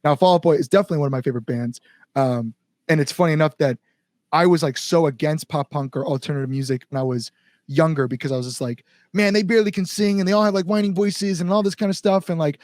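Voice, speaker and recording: Animated American man, higher-pitched voice, close sound. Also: dry recording. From Chicago area, United States. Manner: animated storytelling, quotes people, frequent "like", informal swearing. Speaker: band singer, interview guest. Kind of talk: casual podcast conversation.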